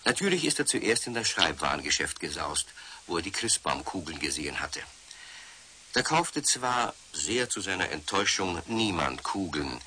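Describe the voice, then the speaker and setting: A man playing the storyteller of a children's radio play, narrating in an animated scripted style. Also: deep voice, moderate pace, full character voice. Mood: storytelling. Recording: faint hiss of 1970s radio recording.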